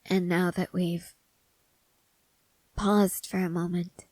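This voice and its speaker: A woman acts out a stoic young woman soldier who is quietly devoted to her mate. Her voice is breathy and often slips toward a whisper, with slow, hesitant phrasing. It is an intimate ASMR roleplay, recorded close and dry. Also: fairly low pitch, flat delivery, dry recording. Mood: troubled, hesitant. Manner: hushed, hesitant, gently reserved.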